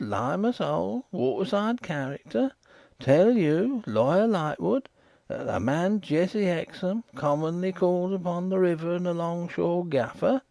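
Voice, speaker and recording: A man's voice: medium pitch, creaky, darkish tone, no echo, voice pushed up. A man voicing a shifty, indignant riverside informer, insistent and repetitive.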